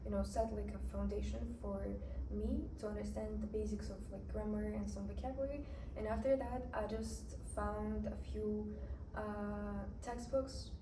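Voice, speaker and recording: A woman's voice: medium pitch, flat delivery, normal speed. Chatty young woman. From Russia. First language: Russian. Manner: chatty, lots of "like" and "you know". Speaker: vlogger. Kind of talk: casual personal story to camera. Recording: roomy echo, distant mic, background noise.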